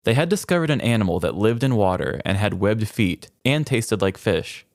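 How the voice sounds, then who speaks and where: A man's voice: fairly low pitch, expressive, moderate pace, no echo, studio quality. A man co-hosting a podcast, chatting casually and reading out fun facts with a playful, wry, cheeky manner. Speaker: podcast co-host. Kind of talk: casual comedic chat with read-out fun facts.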